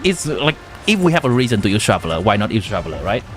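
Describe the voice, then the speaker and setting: A man's voice: on the low side, sing-song, fast talker. A young man from Vietnam, a native Vietnamese speaker with a Vietnamese accent, chatting casually on a live game stream and answering viewers while giving game advice. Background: game music.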